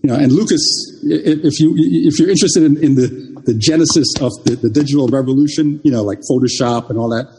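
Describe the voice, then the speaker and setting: Halting older man from Jamaica, husky. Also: fairly high pitch, warm tone, in a large hall. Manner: halting, many restarts and repeated words. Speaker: guest. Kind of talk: reminiscing interview talk.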